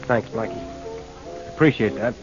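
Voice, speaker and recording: A man's voice: on the low side, normal speed, music in the background, natural voice. An actor playing a downcast, worried male songwriter under suspicion in a 1940s radio drama. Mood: glum, worried. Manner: downcast, resigned. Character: glum, worried male songwriter suspected of murder. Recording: dull 1940s radio recording.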